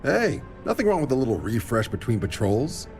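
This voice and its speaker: An actor playing an easygoing, wry military recruiter officer in a video game, talking in a casual, colloquial way. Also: male voice, medium pitch, sing-song, a little fast, darkish tone, faint music behind. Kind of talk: video game cutscene acting.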